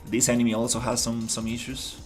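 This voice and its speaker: A man's voice: mid-range voice, completely flat delivery, a little fast, soft background music. A man from Rica, casually talking through his game development on a livestream, rambling and restarting as he thinks aloud. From Costa Rica. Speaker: indie game developer streaming his work. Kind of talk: casual livestream commentary while working.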